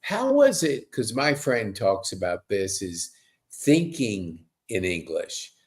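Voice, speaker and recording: A man's voice: fairly low pitch, very animated, slow. A middle-aged man hosting a casual podcast interview, effusive and rambling, with stop-and-start questions. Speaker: podcast host.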